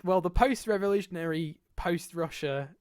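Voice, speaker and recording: A man's voice: sits high, a little mellow. A young man co-hosting a podcast, rambling in casual chat with plenty of restarts. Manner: rambling, self-interrupting, quick to laugh. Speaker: podcast co-host.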